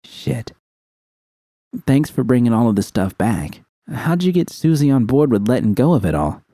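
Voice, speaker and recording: Guarded young heroine, read by a man, audiobook dialogue. Puzzled, informal, mellow and dry. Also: medium pitch, very animated, normal speed, no room sound, voice pushed up, natural voice. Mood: relieved, curious.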